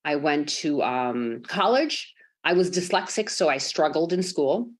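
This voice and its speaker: A woman's voice: sits low, animated, measured pace. A woman from Chicago, a sports executive, telling her life story in a podcast interview over a remote call, earnest and reflective with many ums and uhs. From Chicago, United States.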